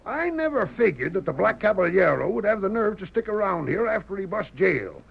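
An actor playing a blustering, crooked rural western sheriff with a raspy voice and a folksy American drawl in a radio drama. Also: male voice, sits high, sing-song, fairly quick, heavily acted. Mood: insistent, sly. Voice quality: raspy, creaky. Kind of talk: old-time radio western acting. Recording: muffled old radio broadcast.

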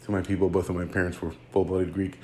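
Low-voiced man hosting a podcast. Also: little pitch movement, quick pace, a little mellow. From United States. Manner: rambling, with many fillers and self-corrections. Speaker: podcast host. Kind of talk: casual solo podcast monologue.

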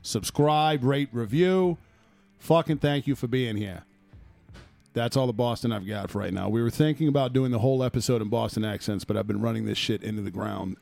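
A man hosting a sports podcast in casual chat, loud and profane, laughing often, with a phlegmy throat. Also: mid-range voice, fairly quick, gravelly, no room sound, faint music behind. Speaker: podcast host.

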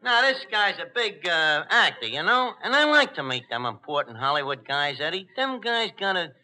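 An actor playing a brash, chummy, uneducated New York tavern manager in a 1940s radio comedy, with slangy, hesitant bluster and mangled words. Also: male voice, on the high side, sing-song, normal speed, sharp tone, heavily acted. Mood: chummy, self-important. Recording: noisy old 1940s radio broadcast recording.

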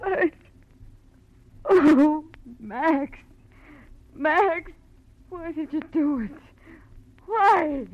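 High-voiced, intense, defiant wife. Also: female voice, lively intonation, drawn-out words, pauses often, dull audio, heavily acted. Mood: grief-stricken. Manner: intense, demanding, dramatic. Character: distraught, defiant wife of a dead man. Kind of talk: 1940s radio melodrama acting.

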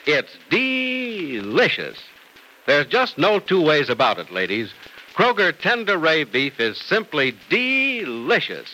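An American man announcing on old-time radio, delivering narration and sponsor pitches in a polished, emphatic salesman's style. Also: wildly swooping pitch, slow, pushed and bright, slight background noise, poor audio. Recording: old radio transcription recording.

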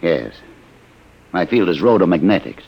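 An actor playing a weary, formal older refugee scientist with a gravelly voice in a vintage radio drama. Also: male voice, low voice, big pitch swings, normal speed, darkish tone, full character voice. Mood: courteous, grave. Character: weary, haunted refugee scientist from another planet. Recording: muffled vintage radio recording.

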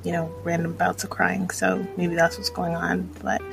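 A woman's voice: fairly low pitch, flat delivery, normal speed, gravelly, music in the background. A woman in her thirties chatting casually in a personal vlog, rambling and hesitant as she reflects on herself. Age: 30s. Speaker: vlogger.

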